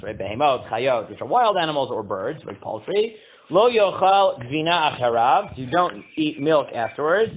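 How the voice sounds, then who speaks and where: A man leads a casual Torah class in a booming voice. He halts and restarts often, reading Hebrew text aloud before translating it. The sound is thin and tinny, like a phone line. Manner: halting, many fillers and restarts. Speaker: rabbi teaching a class.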